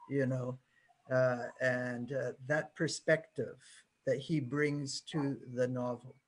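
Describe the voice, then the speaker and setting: A man's voice: on the high side, low-quality recording. A man in his sixties, a translator, answering panel questions in a modest, thoughtful way. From Canada.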